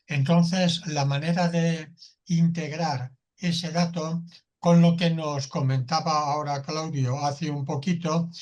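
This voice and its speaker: A man's voice: fairly high pitch, fairly even intonation, takes it slowly, darkish tone. Polite man asking a follow-up question. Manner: polite, checking tag questions. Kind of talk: panel question.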